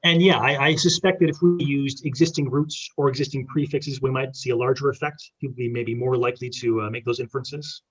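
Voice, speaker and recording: Man, quick speech, muffled audio, hesitant self-correcting researcher, academic answers. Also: medium pitch, steady tone, breathy edge.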